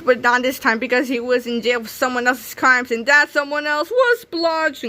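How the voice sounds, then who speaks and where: Bright, high-voiced woman reading aloud. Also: normal speed. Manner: expressive, with stumbles over words. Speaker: read-aloud storyteller. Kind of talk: animated children's comic book read-aloud.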